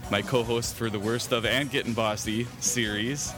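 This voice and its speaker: A man's voice: medium pitch, steady tone, moderate pace, music in the background. A man hosting a podcast in casual, jokey conversation over an internet call, prone to chuckling. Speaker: podcast host. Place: remote Skype call.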